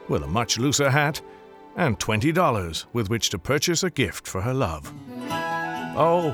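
A man narrating a scripted story in a dramatic, wry, theatrical manner. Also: medium pitch.

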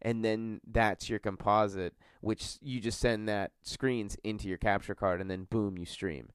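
Congested man with a smooth low voice. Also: fairly even intonation, unhurried, no room sound, clean studio sound. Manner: rambling, filler-heavy storytelling. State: congested from a cold. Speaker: podcast host. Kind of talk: casual podcast chat.

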